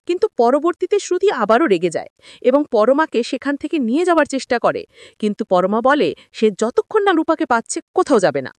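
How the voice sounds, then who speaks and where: A woman's voice: sits high, big pitch swings, fairly quick, no room sound. A woman reading a scripted story recap of a TV drama, moving from scene to scene. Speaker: narrator of TV serial episode recaps. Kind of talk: scripted story recap narration with acted-out dialogue.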